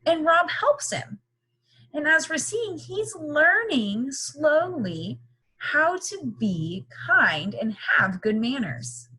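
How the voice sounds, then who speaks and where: A woman's voice: high-pitched, very animated, slow, sharp tone, rough recording. A woman leading an interactive read-aloud lesson for young children, explaining simply and checking in with repeated questions. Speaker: teacher reading a picture book aloud.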